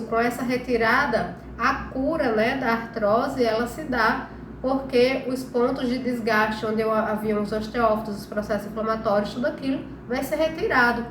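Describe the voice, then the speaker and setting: A woman's voice: on the high side, a bit bright. A Brazilian woman with a slightly nasal, congested voice from a cold, explaining things informally as host of a health live stream and often checking that listeners follow. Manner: conversational, frequent check-in tags. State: congested, recovering from flu. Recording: echoey room with clear background noise.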